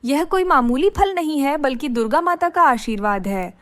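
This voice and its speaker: Woman doing a sweet devout young girl, high bouncy voice, earnest. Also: expressive, normal speed, no echo. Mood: pleading. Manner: earnest, pleading. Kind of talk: cartoon story voice acting.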